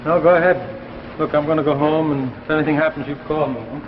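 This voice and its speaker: A man's voice: a little high, steady tone, fast, natural voice. An actor playing an obsessed, desperate scientist husband in 1950s drama style, insistent and repeating himself as he presses. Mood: troubled, doubtful. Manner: repetitive, pleading, pressing. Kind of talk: 1950s anthology drama acting. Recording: muffled, noisy old 1950s broadcast.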